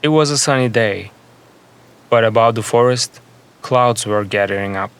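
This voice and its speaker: A man narrating a scripted fantasy story in the first person, with measured, deliberate phrasing in short segments. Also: mid-range voice, a bit bright, clear, resonant tone.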